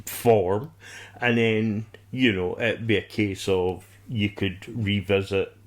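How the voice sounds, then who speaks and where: A man's voice: fairly low pitch, fairly even intonation, slow pace. A man co-hosting a podcast in casual fan discussion, rambling and enthusiastic, with some swearing. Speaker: podcast co-host.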